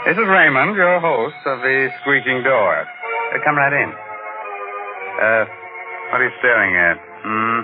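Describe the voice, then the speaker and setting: An actor playing a ghoulish, jokey horror show host with a theatrical, mock-friendly manner in 1940s radio style. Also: male voice, medium pitch, expressive, takes it slowly, pauses often. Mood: macabre glee. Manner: mock-friendly, theatrical, chuckling gallows humor. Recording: muffled narrow-band 1940s radio, music behind.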